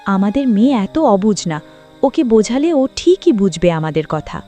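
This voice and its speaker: A woman's voice: mid-range voice, very animated, normal speed, warm tone, faint music behind, close to an everyday voice. A woman playing a practical, caring village wife and mother in a cartoon, chiding yet consoling. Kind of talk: cartoon dialogue and story narration.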